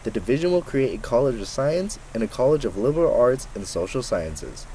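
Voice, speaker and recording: A young man narrating scripted student news reports as the reporter, in an even, measured delivery. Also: mid-range voice, slight background noise, rough recording. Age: young adult.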